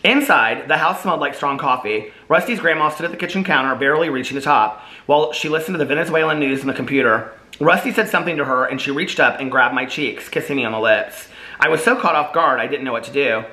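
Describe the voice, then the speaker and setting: Bright-voiced, chatty man reading aloud. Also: on the high side, fast, rough recording. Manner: chatty, informal, playful. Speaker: vlogger reading own book aloud. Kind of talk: casual chat and storybook read-aloud.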